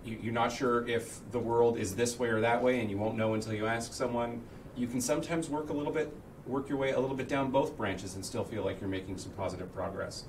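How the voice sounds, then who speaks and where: A man's voice: medium pitch, fairly quick, a little hiss or hum. A man on a livestream panel giving casual, encouraging advice, picked up from a distance. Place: indoor livestream studio. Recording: distant from the microphone. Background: music in the background.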